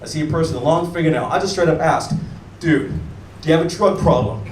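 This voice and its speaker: An American man doing a stand-up comedy set on a club stage, animated and chatting with the audience. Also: a little high, quick pace. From United States. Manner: animated, mimics locals and chats with the audience. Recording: echoey room taped from far off, crowd noise.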